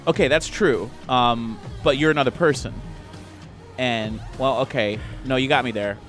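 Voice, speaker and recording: A man's voice: mid-range voice, normal speed, fairly bright tone. Rambling casual male game player. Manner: informal, rambling. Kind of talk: casual improvised in-character chat. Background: music.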